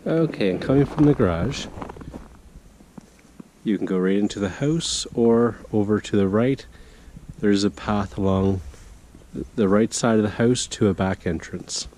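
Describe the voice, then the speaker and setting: Low-voiced realtor with a cold. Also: male voice, a little slow, long pauses, darkish tone, poor audio. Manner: chatty, guiding the viewer. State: has a cold, a bit hoarse. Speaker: real estate agent. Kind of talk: casual walkthrough narration. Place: outdoors, walking around a property in the cold. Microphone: handheld camera while walking. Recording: outdoor noise with passing vehicles.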